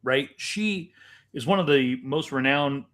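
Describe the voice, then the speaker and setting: A man's voice: mid-range voice, a little slow, a bit bright. A man talking casually as the co-host of a chat podcast.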